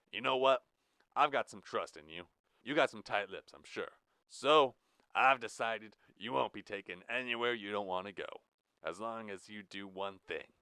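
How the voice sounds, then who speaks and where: A man voicing a ruthless, threatening male prison warden who talks loud with a drawl. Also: lowish voice, smooth, no room sound, voice pushed up.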